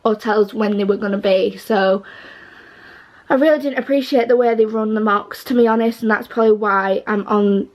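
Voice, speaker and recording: A teenage girl chatting to camera, rambling with lots of fillers and restarts, her voice a little croaky. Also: medium pitch, steady tone, moderate pace. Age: teens. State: slightly croaky voice. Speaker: vlogger. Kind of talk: casual chat to camera.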